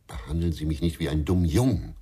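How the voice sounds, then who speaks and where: An actor playing a weary, brooding middle-aged ex-policeman with a drinking past, gravelly and terse, in a radio crime drama. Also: a bass voice, fast talker, warm tone, dry recording. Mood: defensive, troubled. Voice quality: gravelly, husky.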